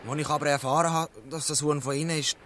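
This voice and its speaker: Radio play casting: male actor for defiant, protective boy, Swiss German, high and tense, insistent. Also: level tone, fast talker, fairly bright tone, slight background noise, natural voice. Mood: earnest, explaining. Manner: pleading, insistent. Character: earnest, defiant orphan boy, protective and honest. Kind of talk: dialect radio play acting.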